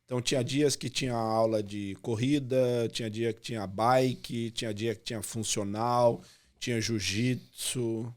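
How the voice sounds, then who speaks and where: A man in his fifties from Brazil talks as a guest on a casual podcast. His voice sits at a middle pitch, and he speaks in an animated, slangy way, with "cara" in almost every sentence. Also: measured pace. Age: 50s. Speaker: guest, personal trainer and missionary pastor.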